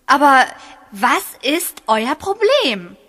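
Curious, high-voiced young girl witch. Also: big pitch swings, takes it slowly, sharp tone, exaggerated voice. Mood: surprised. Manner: exclamatory, inquisitive. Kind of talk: children's radio play acting.

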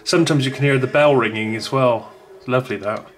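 A man's voice: medium pitch, a little fast, a little hiss or hum. A man rambling casually as he reviews a small gadget at home, with frequent restarts. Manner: rambling, chatty, many restarts. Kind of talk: casual unboxing talk.

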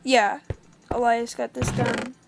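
A woman's voice: on the high side, completely flat delivery, slow pace, creaky, noisy background. A young woman hosting a casual game commentary, chatty and upbeat as she recaps the session. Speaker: gaming channel host.

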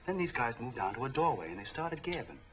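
Actor as fast, animated streetwise peddler man. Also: mid-range voice, lo-fi sound, lightly acted. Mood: urgent, confiding. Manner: chatty, slangy, obliging. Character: eager, friendly, streetwise male street peddler. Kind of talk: 1940s film acting. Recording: faintly noisy 1940s film soundtrack.

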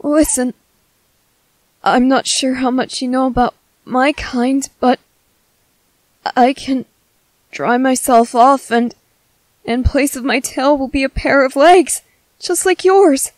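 A woman voicing a gentle, earnest young merman in a soft, halting, soothing ASMR roleplay. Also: high-pitched, dry recording. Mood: reassuring.

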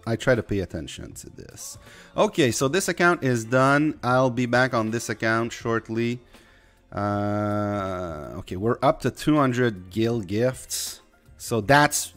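Young man, light Quebec French accent, relaxed rambling streamer commentary over faint background music. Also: medium pitch. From Quebec, Canada. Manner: rambling, chatty, talks to chat. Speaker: game streamer. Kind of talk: casual gameplay commentary.

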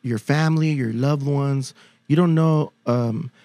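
A middle-aged musician guest on a podcast, talking in a relaxed, rambling way with many fillers. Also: male voice, medium pitch, measured pace, no echo. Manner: laid-back, rambling, many likes and restarts. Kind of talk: casual chat. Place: podcast studio, indoors. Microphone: studio mic, playing acoustic guitar. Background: acoustic guitar.

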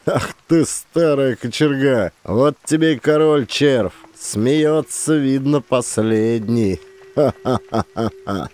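A man's voice: mid-range voice, slow, darkish tone, voice pushed up, lightly acted. A man voicing a swaggering police chief who mocks and gloats. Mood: mocking gloat.

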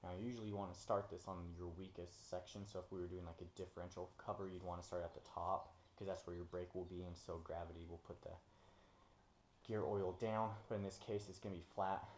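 Young guy, very low voice, easygoing DIY walkthrough while working on the floor of his garage. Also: fairly quick, a little hiss or hum. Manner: relaxed, many ums and uhs while working. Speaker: DIY mechanic host. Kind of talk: casual how-to explanation. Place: home garage, concrete floor. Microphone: camera on the floor nearby, hands busy.

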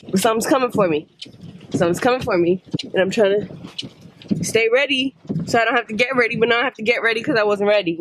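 A woman's voice: mid-range voice, background noise. A young woman vlogging to a handheld camera, chatty and rambling, a little congested. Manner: chatty, rambling, addresses viewers as y'all. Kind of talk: casual vlog talk to camera. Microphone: handheld camera at arm's length.

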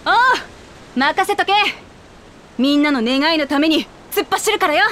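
An actress playing a brash, warm-hearted warrior princess in a game, speaking in a rough, boyish, candid way. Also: female voice, high voice, expressive, moderate pace, slight background noise. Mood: determined.

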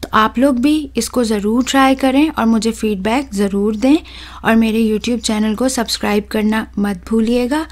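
Higher-voiced woman explaining a recipe. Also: unhurried. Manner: steady, instructive, addresses viewers directly. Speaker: cooking channel host. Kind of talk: voice-over recipe tutorial. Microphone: voice-over, close mic.

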